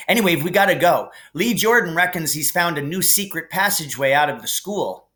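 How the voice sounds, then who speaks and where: A man voicing cheerful, boisterous teenage twin brothers in an excited, breezy storybook read-aloud. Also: fairly high pitch, a little fast, pitched above the performer's own voice.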